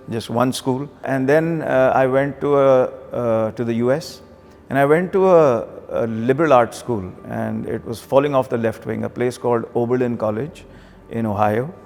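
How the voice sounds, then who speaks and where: A man's voice: mid-range voice, normal speed, darkish tone. Middle-aged Pakistani man in a reverberant space. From Karachi, Pakistan. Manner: conversational, occasional Urdu phrases. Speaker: banker, interview guest. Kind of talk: reflective interview storytelling. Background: background music.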